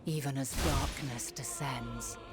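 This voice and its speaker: Slow, low-voiced solemn goddess. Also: female voice, fairly even intonation. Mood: solemn, compassionate. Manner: grave, measured, poetic delivery. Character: sorrowful, loving female goddess, solemn and resolute. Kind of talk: dramatic scripted video game monologue. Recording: loud orchestral game music behind. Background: loud music.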